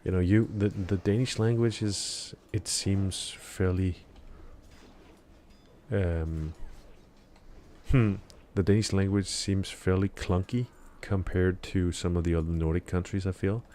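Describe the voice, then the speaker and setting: A man's voice: low-pitched, fairly even intonation, unhurried, mellow. A man live-streaming a game in the morning, chatting casually with viewers in a rambling, hesitant way full of fillers and restarts, still a bit sleepy. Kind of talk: casual live-stream chat while gaming.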